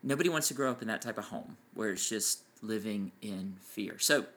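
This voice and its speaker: A man with a loud voice co-hosting a casual parenting podcast, explaining his points with enthusiasm. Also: fairly low pitch, brisk. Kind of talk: casual chat. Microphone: farther from mic than co-host.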